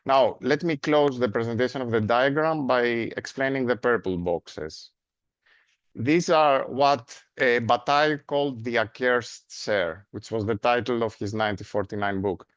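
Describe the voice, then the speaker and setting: A man with a Greek accent delivers a seminar lecture in a measured, explanatory way, with frequent "uh" fillers and tag questions. His voice sits at a middle pitch for a man. The recording is dry and somewhat dull, with little room sound. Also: dry recording.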